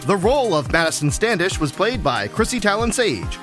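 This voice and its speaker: Male announcer reading credits, somewhat high, lively delivery, faint music. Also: a little fast.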